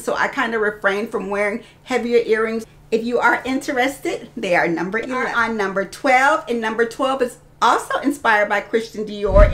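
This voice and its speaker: Chatty woman doing a fashion haul vlog, voice a bit on the high side, talking right to the viewers. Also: fairly bright tone. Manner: chatty, addresses viewers as girls and ladies. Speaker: fashion vlogger and host. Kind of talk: casual product showcase to camera. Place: small indoor room lined with clothes and bag shelves.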